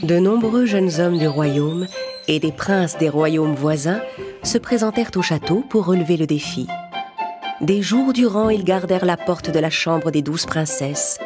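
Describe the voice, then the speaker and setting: A woman narrating children's fairy tales from a script in a measured, expressive storytelling manner. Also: fairly low pitch. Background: music under the narration.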